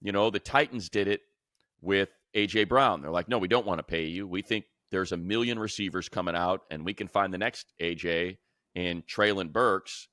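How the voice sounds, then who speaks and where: A man's voice: deep voice, normal speed, fairly bright tone, no room sound, clean studio sound. A man co-hosting a sports show, giving unscripted analysis and repeating phrases for emphasis. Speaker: sports show co-host and commentator.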